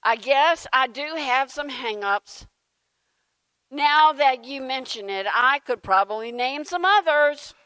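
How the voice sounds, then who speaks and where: A woman's voice: sits high, animated, slow, bright, forceful tone, smooth, no echo, full character voice, low-quality recording. A woman playing a flustered, defensive churchgoer at prayer, exclaiming indignantly in a comic dramatic reading. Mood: reluctant.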